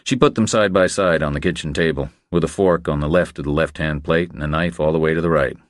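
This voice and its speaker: Very deep-voiced male audiobook narrator. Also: fairly even intonation, fast, no echo, studio quality. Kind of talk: scripted crime thriller narration.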